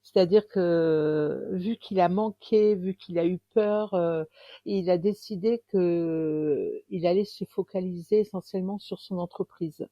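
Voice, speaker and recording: A woman in her fifties from northern France, a romance author chatting in an interview, pausing often and restarting her sentences. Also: lowish voice, fairly even intonation, warm tone, dry recording, highs cut off. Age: 50s. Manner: hesitant, many pauses and restarts. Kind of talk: casual interview chat.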